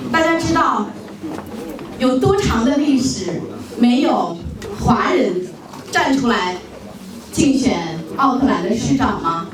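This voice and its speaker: Excited woman emcee, rousing intro, fairly high voice, far mic in a very echoey hall with a crowd. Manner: excited, rousing, calls for applause. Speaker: event host introducing the speaker.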